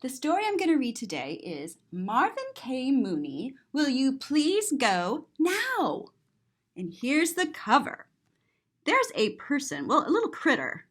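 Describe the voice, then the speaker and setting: A woman reading a picture book aloud to children in an expressive, sing-song way, drawing out words and describing the pictures. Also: sits high, measured pace. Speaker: children's story reader and teacher.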